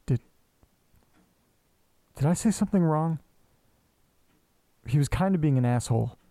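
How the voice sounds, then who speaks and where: A man's voice: a little high, lively intonation, unhurried, mellow, dry recording. A man playing an awkward, insistent amateur investigator in an audio drama, speaking in a hesitant, casual way. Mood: hesitant, puzzled. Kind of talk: naturalistic audio drama acting.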